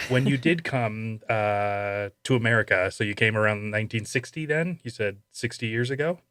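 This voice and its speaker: A man hosting a casual comic interview, asking playful questions in a deadpan way. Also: on the low side, normal speed, no echo. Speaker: podcast host, interviewer.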